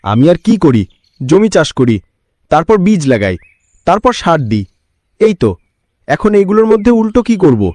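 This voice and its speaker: A man's voice: a little high, big pitch swings, normal speed, long pauses, a little mellow, no echo. A man voicing a worried, earnest village farmer and husband in a cartoon. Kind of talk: Bengali cartoon voice acting.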